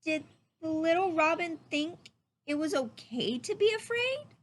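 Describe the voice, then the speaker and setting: A woman voicing a curious, anxious little boy raccoon in a storybook, with expressive questioning. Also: extremely high pitch, measured pace, pitched above the performer's own voice, heavily acted. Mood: worried, curious. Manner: wide-eyed, expressive questioning. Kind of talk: storybook character voice.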